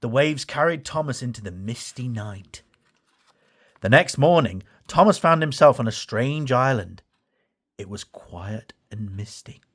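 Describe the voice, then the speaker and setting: A man's voice: mid-range voice, animated, measured pace, a bit bright. A man reading a children's story as a scripted storybook narration. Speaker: story reader.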